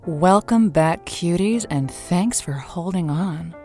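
A woman's voice: lowish voice, expressive, slow, dark tone, faint music behind. A woman playing a romantic, sympathetic radio advice host in a semi-improvised audio drama, speaking in an earnest, emphatic way. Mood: welcoming.

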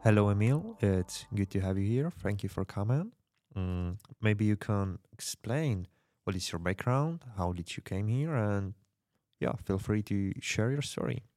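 A man's voice: on the low side, animated, unhurried, darkish tone, dry recording, clean studio sound, faint music behind. A man playing an earnest rebel radio host in a dystopian drama, speaking solemnly and rallying the listener in a scripted, intimate address. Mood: gentle, inviting.

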